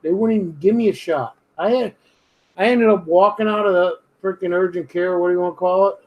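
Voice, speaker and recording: A man's voice: high-pitched, fairly even intonation, brisk, highs cut off. A man with a slight Brooklyn-ish accent chatting casually, blunt and grumbling, swearing freely. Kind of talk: casual late-night chat.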